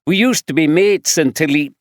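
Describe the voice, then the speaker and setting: A man voicing a grubby, gruff homeless Glaswegian man who coughs and rambles, with a Glaswegian accent, in a storybook reading. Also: a little high, moderate pace, no room sound, clean studio sound, voice pushed up, close to an everyday voice. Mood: weary.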